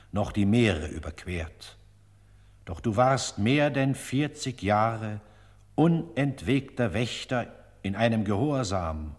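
An actor playing a solemn eulogist in a radio play, declaiming in an exalted, ceremonious way with long reverb on the voice. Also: male voice, on the low side, husky, full character voice. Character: solemn male eulogist praising a faithful old servant.

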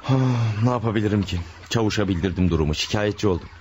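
An actor playing a scheming middle-aged man who killed his wife and son, smooth and gloating. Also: lowish voice, quick pace, a little mellow, slight background noise, lightly acted. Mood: gloomy. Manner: smooth, gloating and sweet-talking. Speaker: radio drama actor. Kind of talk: vintage radio drama acting.

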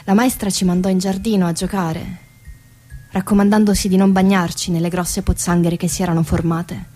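A woman's voice: on the low side, flat delivery, moderate pace, warm tone, soft background music, lightly acted. Actress as a weary female factory worker, clipped phrases. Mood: reflective. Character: weary female car factory assembly-line worker telling her story. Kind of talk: radio theatre monologue.

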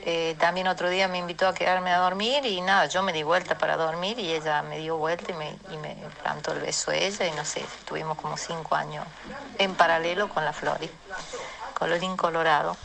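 A woman with an Argentine accent telling a personal anecdote casually, with pauses and colloquial asides. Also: low voice, fairly even intonation, creaky, a bit bright, low-quality recording. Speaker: storyteller in a listener voice message.

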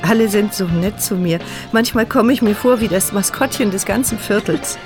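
Actress as paralysed young woman, inner monologue, reflective, measured, radio drama, faint music. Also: medium pitch, close to an everyday voice. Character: paralysed young woman, narrator of her story.

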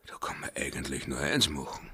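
An actor playing a sinister, calculating accomplice in a radio play, whispery and conspiratorial, on a noisy recording. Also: male voice, very deep voice, lively intonation, brisk, pushed and bright, husky, heavily acted.

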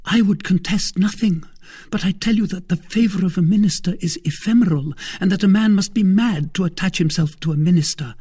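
A man voicing an indignant, sharp-tongued young wife in a period novel, scolding and haughty in an exclamatory way. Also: high voice, fairly quick, breathy edge, voice pushed up. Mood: urgent, insistent.

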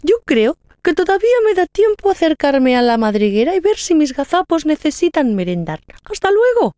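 A woman's voice: sits high, big pitch swings, normal speed, dark tone, no room sound. A woman voicing a carefree, overconfident mother hare in a children's story, chatty and full of exclamations. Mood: cheerful, smug. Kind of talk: children's audio story acting.

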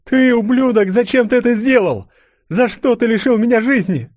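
Man voicing a high, anguished, furious woman agent. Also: fairly even intonation, a little slow, dark tone, no room sound, clean studio sound. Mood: anguished fury. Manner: dramatic, exclamatory, accusing. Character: anguished, furious female double agent and betrayed mother.

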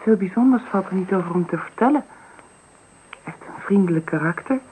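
An actress playing a gentle, slightly anxious young mother in a radio play, speaking with care. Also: medium pitch, lively intonation, a little fast, close to an everyday voice. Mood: careful, earnest. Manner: careful, hesitant, proud of her child. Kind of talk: Dutch radio play acting. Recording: faintly noisy old radio recording.

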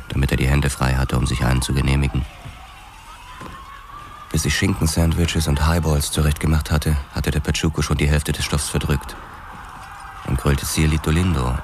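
A man's voice: extremely low pitch, flat delivery, moderate pace, background noise. An actor playing a laconic, guarded ex-boxer cop who narrates his past in a crime radio drama. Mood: sober, reflective.

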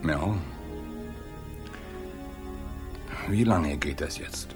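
An actor playing a middle-aged, anguished, protective father in a German radio crime drama, speaking haltingly and repeating his short pleas. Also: extremely low pitch, over music. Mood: stern, pressing. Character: anguished, protective father of a drug-addicted daughter. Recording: 1980s studio radio play.

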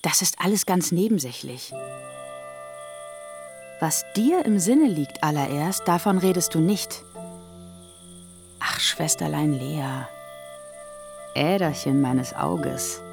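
Rahel, desperate coaxing younger sister, really low voice, radio play with music. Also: female voice, expressive, takes it slowly, vocal fry, pauses often, heavily acted. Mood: pleading. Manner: pleading, coaxing, fervent. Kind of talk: radio drama acting.

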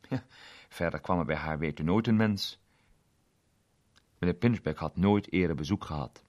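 A man narrating a scripted story as an expressive storyteller. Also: sits low.

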